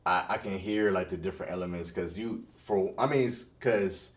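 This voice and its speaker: Young host guy, real deep voice, says like a lot, sounds a little off-mic. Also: normal speed, far from the mic. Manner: hesitant, many "like" fillers. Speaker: podcast host and blog writer. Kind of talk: casual podcast interview.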